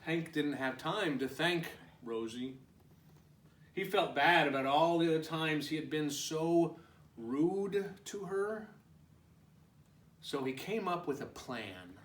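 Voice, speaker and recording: A man's voice: fairly high pitch, lively intonation, slow pace, long pauses, a bit bright, a little hiss or hum. A man reading a children's picture book aloud as storytime host, expressively, with little asides to young listeners. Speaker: storytime reader, preschool teacher. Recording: roomy echo, distant microphone.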